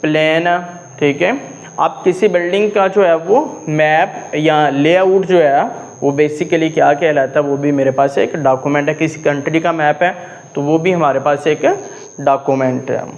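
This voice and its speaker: Slow-paced Pakistani man teaching. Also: a little high, fairly even intonation, low-quality recording. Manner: didactic, repeatedly checking understanding. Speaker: law teacher. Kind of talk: lecture explaining a legal text point by point.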